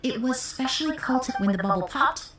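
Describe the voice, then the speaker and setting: A woman playing a composed, scholarly young perfumer in a video game, speaking in a measured, formal, explanatory way. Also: sits high, expressive, fairly bright tone, slight background noise. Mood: informative. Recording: roomy sound with music underneath.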